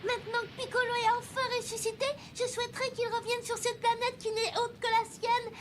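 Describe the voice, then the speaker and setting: A woman's voice: very high-pitched, flat delivery, fairly quick, fairly bright tone, slight background noise. A woman voicing an earnest, polite little boy in a dubbed cartoon, childlike and eager. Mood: earnest, questioning.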